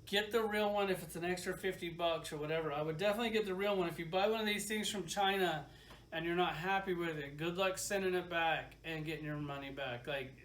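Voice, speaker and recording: A man's voice: high-pitched, brisk, fairly bright tone. A man giving a casual, opinionated product review in an informal, rambling way. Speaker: toy reviewer.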